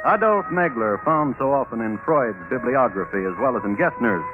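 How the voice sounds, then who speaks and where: An actor playing a wry crime chronicler, narrating in a dry, deliberate way as host of an old radio drama. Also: male voice, mid-range voice, expressive, lightly acted. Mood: dramatic, deliberate. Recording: old narrowband radio recording, music behind.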